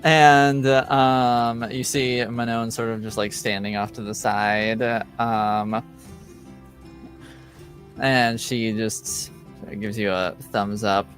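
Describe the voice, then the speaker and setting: A man narrating a tabletop roleplaying game as game master, improvising in a hesitant, halting way. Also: lowish voice, little pitch movement, fairly bright tone, soft background music.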